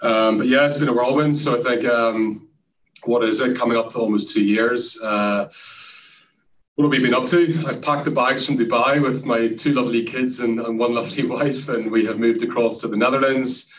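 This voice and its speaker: An Irishman speaking as a guest in a casual interview, relaxed and chatty with frequent fillers. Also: mid-range voice, normal speed, recorded from a distance, poor audio. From Ireland. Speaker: guest, sales executive.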